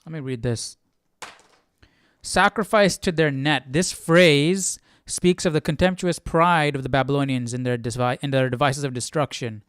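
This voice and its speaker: A man's voice: medium pitch, normal speed, a bit bright, clear, resonant tone, no echo, clean studio sound. A man hosting a casual Bible study, reading aloud and explaining in an informal way, stumbling and correcting himself. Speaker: Bible study livestream host.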